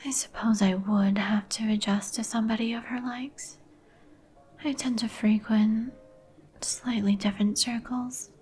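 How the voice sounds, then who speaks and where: A woman's voice: on the high side, fairly even intonation, a little slow, a little mellow. Woman as whispering, melancholy vampire lady. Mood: reserved, courteous. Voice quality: whispered, breathy. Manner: formal, old-fashioned, somber phrasing. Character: melancholy, courteous vampire woman, turned young some 150 years ago. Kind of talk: ASMR roleplay. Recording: music behind the voice. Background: music.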